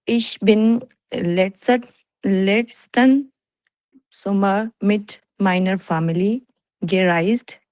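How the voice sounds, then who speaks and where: A woman from Pakistan presents as a language learner, very slowly and haltingly. She repeats words to correct herself, and the recording is close and dry. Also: mid-range voice, a little mellow, no echo, low-quality recording. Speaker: language student. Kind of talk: beginner presentation in class.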